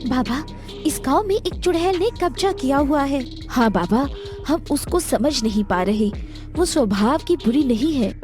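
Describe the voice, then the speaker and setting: Gossipy village housewife for a cartoon tale, voiced by a woman: high, plaintive, easily alarmed, music underneath. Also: expressive, measured pace. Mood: anxious gossip. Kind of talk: Hindi animated moral-story dialogue.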